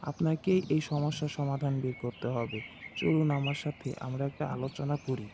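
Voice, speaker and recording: A man's voice: mid-range voice, steady tone, moderate pace, close to an everyday voice. A man playing an anxious, earnest villager in a cartoon folk tale. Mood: pleading. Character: worried village man seeking help. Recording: clear noise, outdoor birds behind.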